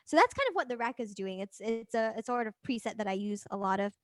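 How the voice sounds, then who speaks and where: A woman presenting a music production workshop as an informal software demonstration, chatting and thinking aloud as she works. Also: a little high, animated, fast talker, no echo, studio quality.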